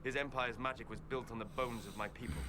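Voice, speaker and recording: An actor playing a solemn, calm male elven mage who speaks in measured, formal lines of fantasy game dialogue. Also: mid-range voice, crowd noise, lightly acted. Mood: grave. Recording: clear ambient noise behind the voice.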